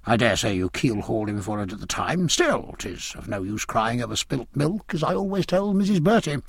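A man's voice: mid-range voice, sing-song, fairly quick, vocal fry, slightly rough, dry recording, studio quality, full character voice. A man voicing a hearty, garrulous older British admiral in a naval audiobook, blustering and fond of proverbs. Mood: jovial, blustering. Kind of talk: naval historical audiobook character dialogue.

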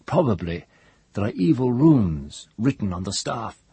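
Man voicing a blunt, scornful, husky heavyset woman. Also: lowish voice, very animated, unhurried, warm tone, voice pushed up, full character voice. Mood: scornful, suspicious. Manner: blunt, dismissive.

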